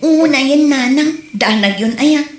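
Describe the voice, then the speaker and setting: A woman's voice: high voice, a little slow. A woman playing a flustered, defensive young student daughter with an Ilocano Filipino accent in a comedy audio drama. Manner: pleading, evasive. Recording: roomy echo with faint noise.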